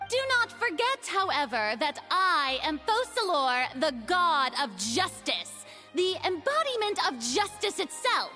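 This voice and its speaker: A woman's voice: very high-pitched, big pitch swings, sharp tone, in a large hall, faint music behind, heavily acted. A woman playing a proud, theatrical young female god of justice in a video game, hiding a burden. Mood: imperious. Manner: theatrical, haughty, dramatic pauses.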